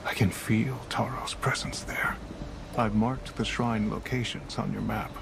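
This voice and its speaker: A gravelly wise village elder. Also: male voice, fairly low pitch, steady tone, measured pace, noisy background. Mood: solemn. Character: wise older male village elder and mentor. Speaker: mentor character. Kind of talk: game dialogue. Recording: game audio with loud music behind.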